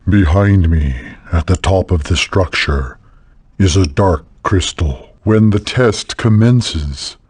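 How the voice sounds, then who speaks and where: Solemn game trial master, super deep and husky, commanding, measured. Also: male voice, a little mellow, no room sound. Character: solemn, commanding male keeper of spirit trials. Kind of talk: scripted fantasy video game acting.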